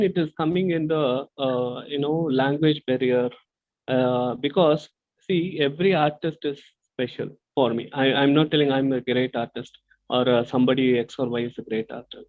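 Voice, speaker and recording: A man's voice: mid-range voice, moderate pace. A man from India, explaining earnestly as an interview guest over a video call, full of hesitant fillers. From South India. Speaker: guest artist. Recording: tinny phone-like call audio.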